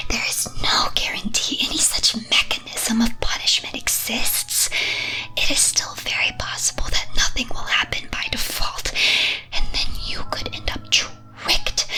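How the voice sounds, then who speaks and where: A woman playing a teasing, wicked demon mistress in a breathy, theatrical ASMR whisper, full of dramatic rhetorical questions. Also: a little high, bright, forceful tone, heavily acted, poor audio. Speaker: streamer performing as a demon-mistress persona.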